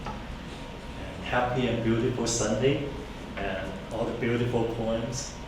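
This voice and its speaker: A man from Hong Kong whose first language is Cantonese introduces himself at a reading, speaking politely and haltingly with many fillers. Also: mid-range voice, steady tone. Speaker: engineer and songwriter reading poems. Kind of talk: event introduction. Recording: distant, echoey, noisy room recording.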